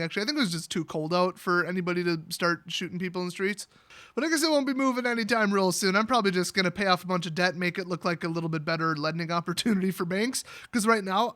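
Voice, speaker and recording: An American man telling a personal story in a casual, rambling way, with a sarcastic, jokey delivery. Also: sits high. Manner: sarcastic, animated, jokey exaggeration.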